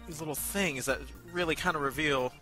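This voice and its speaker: A man co-hosting a review show in casual chat, speaking hesitantly with many pauses. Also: on the high side, sharp tone. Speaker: co-host of an amateur voice acting review show. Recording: background music under voice.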